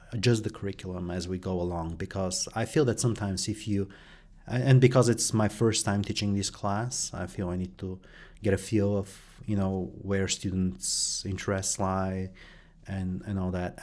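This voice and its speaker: A middle-aged man from Bulgaria answering interview questions about his work, pausing often with fillers and restarts. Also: low voice, darkish tone. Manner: hesitant, many fillers and restarts. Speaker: guest, journalist and professor.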